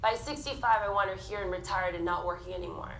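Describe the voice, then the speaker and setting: A woman in her thirties telling her personal story candidly on a theatre stage, animated and frank. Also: on the low side, fast talker, vocal fry, reverberant, very noisy. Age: 30s. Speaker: panel speaker.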